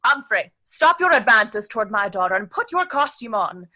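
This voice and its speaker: A woman's voice: fairly high pitch, very animated, moderate pace, sharp tone, full character voice. A woman playing a strict, bossy middle-aged mother and costumer, scolding in an audio play.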